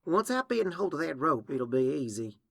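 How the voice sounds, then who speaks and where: A man's voice: a little high, very animated, fairly quick, gravelly, pitched above the performer's own voice, exaggerated voice. A man voicing a bratty, defiant, whiny young female pony in a petulant, indignant way for a story reading. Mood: cocky, dismissive.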